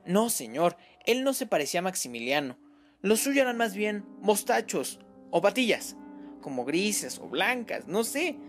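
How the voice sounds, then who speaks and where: A man's voice: sits high, very animated, unhurried, faint music behind, voice pushed up. A man doing a frantic, grieving mother. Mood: insistent. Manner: tearful, pleading, breathless outbursts. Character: distraught middle-aged mother, frantic and self-blaming.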